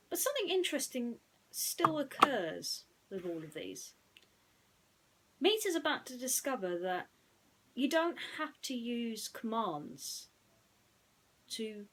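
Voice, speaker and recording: Lady reading a bedtime story, unhurried, really lively up and down pitch, explains stuff gently. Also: rough recording.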